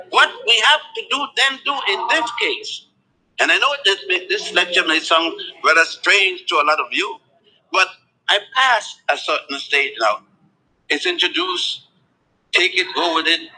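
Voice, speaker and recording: Emphatic high-pitched male lecturer. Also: very animated, pushed and bright, low-quality recording. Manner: deliberate, emphatic, pitch drops at phrase ends. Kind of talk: spoken lecture.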